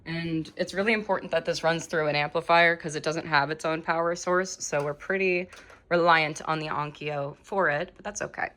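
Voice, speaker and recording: A woman's voice: sits low, fairly even intonation, moderate pace, fairly bright tone. A woman giving a casual, chatty hands-on tutorial, informally thinking aloud as she goes. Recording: echoey room, faint background noise.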